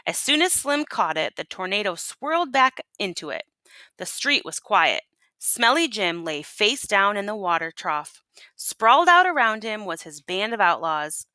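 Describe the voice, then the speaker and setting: A woman teacher reading a storybook aloud to children and pausing to ask them questions. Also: medium pitch, sing-song, bright, forceful tone, no echo.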